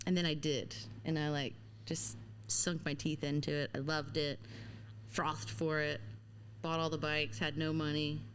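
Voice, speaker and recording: A woman's voice: low voice, flat delivery, measured pace, slight background noise. A woman from Louisiana with a mild Southern accent, chatting casually as a podcast guest and laughing often. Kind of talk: casual chat.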